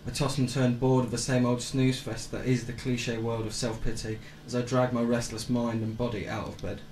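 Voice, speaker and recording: A man's voice: medium pitch, level tone, moderate pace, darkish tone, reverberant, a little hiss or hum, natural voice, poor audio. A young man acting a restless, bored writer in a spoken-word radio play. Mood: brooding.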